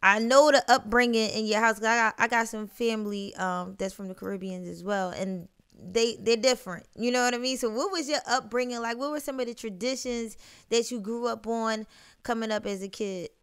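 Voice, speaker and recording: A young woman, a DJ hosting an interview show, talking in a lively, chatty way on a studio mic. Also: a little high, a little fast, smooth. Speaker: DJ and show host. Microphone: studio mic with headphones.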